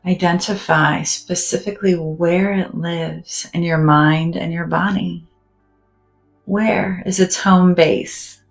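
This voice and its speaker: A woman leading a guided meditation in a soft, gentle, soothing manner, with long pauses and moments that sink almost to a whisper. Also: fairly low pitch, steady tone, vocal fry, soft background music.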